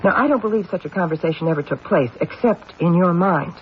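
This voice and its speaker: Man acting a high-voiced, probing police detective. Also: fast, natural voice. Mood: probing, cool. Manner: pointed, clipped questioning. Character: probing, businesslike, skeptical male police detective. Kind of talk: old-time radio drama acting. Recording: muffled old radio recording, faint noise.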